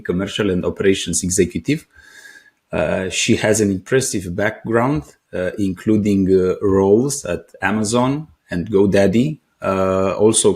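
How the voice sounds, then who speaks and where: A man's voice: lowish voice, a little mellow. A man hosting a business podcast and interviewing a guest, speaking hesitantly with frequent fillers. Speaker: podcast host.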